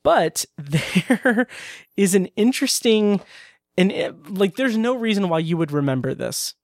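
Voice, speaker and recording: A man's voice: high voice, expressive, measured pace, no echo. A man hosting a podcast in casual chat, talking loosely with fillers and laughs, recorded close on a dry mic. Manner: chatty, laughing, full of fillers. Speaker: podcast host.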